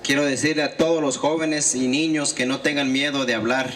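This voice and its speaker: Steady male interpreter, light noise. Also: mid-range voice, a little fast. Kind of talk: consecutive interpreting. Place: outdoor gathering.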